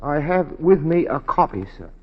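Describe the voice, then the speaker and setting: A measured, deferential clerk, higher voice. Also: male voice, sing-song. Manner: formal, measured, obsequiously polite. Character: discreet, deferential male confidential clerk, quietly cunning. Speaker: actor in a radio drama. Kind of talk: 1940s radio acting. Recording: muffled 1940s radio recording.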